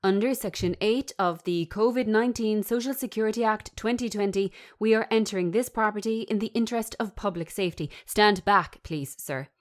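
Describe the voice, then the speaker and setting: A woman's voice: medium pitch, brisk, hardly any pauses, pitched above the performer's own voice, lightly acted. A woman voicing a brusque, officious male government officer in a formal, commanding manner for a script reading. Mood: stern, commanding.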